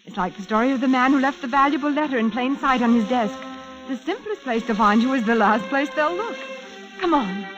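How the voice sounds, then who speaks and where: A woman's voice: high voice, lively intonation, a little fast. An actress playing a frightened, framed young woman in a 1940s radio mystery. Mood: eager, brisk. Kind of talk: 1940s radio drama acting. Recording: muffled, band-limited old radio recording with music.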